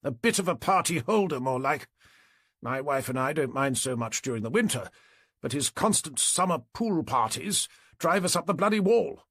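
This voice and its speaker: A man's voice: mid-range voice, normal speed, a bit bright, no echo, clean studio sound, voice pushed up. A man voicing an indignant, pompous middle-aged neighbour in an audiobook, with a clipped British accent. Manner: pompous, exasperated.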